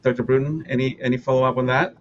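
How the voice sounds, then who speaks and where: A man's voice: mid-range voice, level tone, fast talker. A man moderating a post-show discussion, recorded over an online video call. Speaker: panel moderator. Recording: dull video call with background noise.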